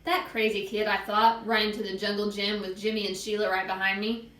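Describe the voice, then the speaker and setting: A woman reading a children's book aloud as an expressive storyteller. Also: medium pitch, brisk, roomy sound, low-quality recording.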